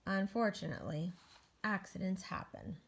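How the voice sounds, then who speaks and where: A woman's voice: mid-range voice, moderate pace, gravelly, background noise, lightly acted. A woman voicing an anxious, wistful egg who narrates its own story earnestly in a children's picture book read-aloud. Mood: happy. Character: anxious, wistful egg recovering from a great fall.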